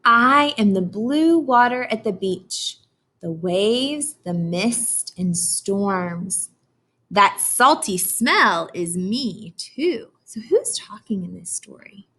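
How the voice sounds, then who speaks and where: A woman's voice: mid-range voice, lively intonation, slow, sharp tone, husky. A woman with an American accent reading a picture book aloud as a teacher, explaining simply and prompting young listeners with questions.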